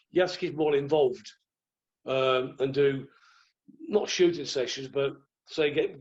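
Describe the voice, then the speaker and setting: A croaky-voiced man, telling rambling stories as a podcast guest. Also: fairly high pitch, darkish tone, highs cut off. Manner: rambling, restarts often. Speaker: guest, former goalkeeper. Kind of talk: relaxed anecdotal chat.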